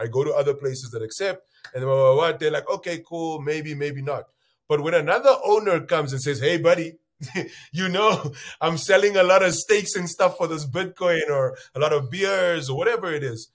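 A loud-voiced man from Namibia talks in an interview about his work. He tells his stories with energy, slipping into quoted voices. His voice sits a little high for a man. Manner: acts out bar conversations, lively quoted voices. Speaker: bitcoin entrepreneur guest. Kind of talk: animated interview storytelling.

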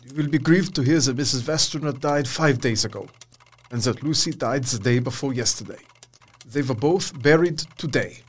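An actor playing an old, eccentric, passionate foreign doctor in an audio drama, emphatic and given to repeating words. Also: male voice, medium pitch, moderate pace, breathy edge, lightly acted. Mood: grave.

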